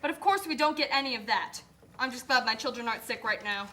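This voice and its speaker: A woman's voice: a little high, animated, fast talker, pushed and bright. A woman voicing an indignant, put-upon factory worker and mother in an amateur puppet show, earnest and pleading. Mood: indignant, weary.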